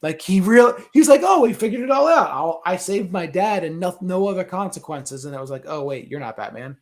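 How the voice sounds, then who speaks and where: A man chatting casually as a panelist on a discussion show, telling things in an animated way and acting out reactions. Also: high voice, fairly quick. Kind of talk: casual movie discussion chat.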